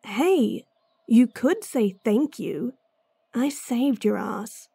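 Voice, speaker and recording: A woman voicing a gruff, impatient female bounty hunter in a fantasy audio drama, blunt and sardonic, sighing often. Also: on the high side, expressive, very slow, warm tone, no room sound, exaggerated voice. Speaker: voice actress.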